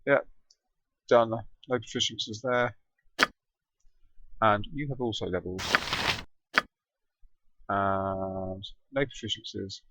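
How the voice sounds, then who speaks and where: A man's voice: on the low side, steady tone, slow pace, long pauses, highs cut off. A man giving casual gameplay commentary as a let's play host, thinking aloud. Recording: loud game music behind voice. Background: game music and effects.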